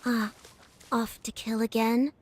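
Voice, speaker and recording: Poised, higher-voiced mysterious woman. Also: little pitch movement, slow. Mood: cool, intrigued. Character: poised, knowing woman stranger offering aid. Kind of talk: dramatic video game cutscene acting. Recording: faint music under the voice.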